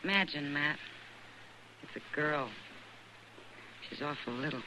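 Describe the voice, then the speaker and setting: An old, noisy radio recording carries a capable American frontier woman. She talks informally, with warmth and wry humor, in the manner of a vintage Western drama. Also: deep voice, measured pace, full character voice. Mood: tender.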